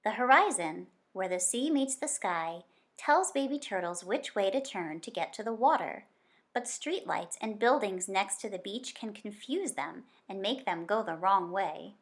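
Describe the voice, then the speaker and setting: A woman with a mid-range voice reads a picture book aloud in the manner of a teacher with young listeners. Her delivery is expressive and deliberate. She shapes phrases like a storyteller and stretches important words. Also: mid-range voice. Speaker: teacher reading aloud to children.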